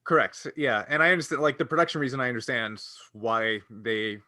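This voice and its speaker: A man's voice: mid-range voice, a little fast, sharp tone, no room sound, clean studio sound. A man co-hosting a casual fan discussion, speaking earnestly and often rephrasing himself mid-thought. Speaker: podcast co-host.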